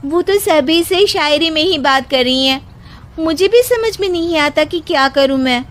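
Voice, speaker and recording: A spirited young housewife from a comic moral story, acted by a woman. She speaks emphatically in a high, slow voice, with a cheeky edge. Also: lively intonation, fairly bright tone. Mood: concerned. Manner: emphatic, recites mocking rhymes.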